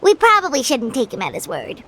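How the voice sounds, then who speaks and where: A woman's voice: high voice, wildly swooping pitch, a little fast, fairly bright tone, slight background noise, heavily acted. A woman voicing a tiny, childlike companion creature in a video game, wary and chatty. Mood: suspicious.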